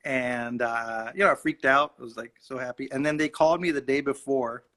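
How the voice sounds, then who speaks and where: A young man, an actor and native Urdu speaker, telling stories in a lively way during an interview. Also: medium pitch, fairly quick. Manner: animated, acts out voices in his anecdotes. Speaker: actor, guest. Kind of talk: casual storytelling in an interview.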